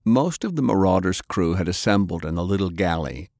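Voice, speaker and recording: A man's voice: sits low, lively intonation, a little fast, gravelly, a little mellow, dry recording, clean studio sound. A man reading adventure fiction as a dramatic audiobook narrator.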